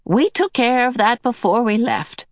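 A woman's voice: fairly high pitch, animated, no echo, studio quality, pitched above the performer's own voice. A woman voicing a cheerful, motherly old otherworldly female being who soothes and fusses. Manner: bright and soothing, brisk.

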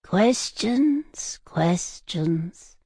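A man's voice: sits high, expressive, very slow, dark tone, breathy edge, dry recording, voice pushed up, full character voice. A man voicing a blunt but kindly tavern owner, exasperated and protective, in an audiobook style. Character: blunt, worried, kindly male tavern owner, scolding a friend. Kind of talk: audiobook character acting.

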